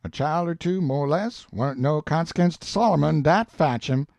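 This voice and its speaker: A man's voice: fairly high pitch, moderate pace, creaky, darkish tone, dry recording, pitched above the performer's own voice. A man voicing an earnest, stubborn runaway slave in an audiobook, with a Southern American accent and a folksy, emphatic way of arguing. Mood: insistent, earnest. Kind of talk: audiobook character dialogue.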